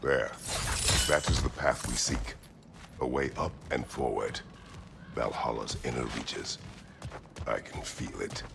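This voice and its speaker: An actor playing a stern, brooding, battle-worn god of war in a video game, with a gravelly growl and terse, grave delivery. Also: male voice, rumbling low, slow, pauses often, noisy background, heavily acted. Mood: resolute. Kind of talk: dramatic video game acting.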